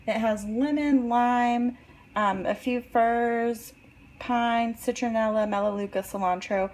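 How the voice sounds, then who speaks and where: A woman's voice: fairly high pitch, steady tone, slow, a little mellow. A young woman in her twenties casually demonstrating a recipe in her home kitchen, chatting with many ums while she works. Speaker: vlogger.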